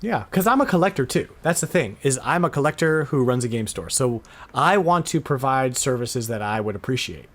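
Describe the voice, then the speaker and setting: A man's voice: medium pitch, animated, a little fast, few pauses, fairly bright tone. A middle-aged man chatting casually as the host of a podcast. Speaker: podcast host and retro game store owner.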